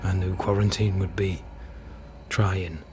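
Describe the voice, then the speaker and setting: Stern colonial captain for a game: low, unhurried, formal and proud. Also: male voice, little pitch movement, a little mellow, faint music behind. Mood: grave regret. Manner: grave, measured, formal. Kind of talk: dramatic video game acting.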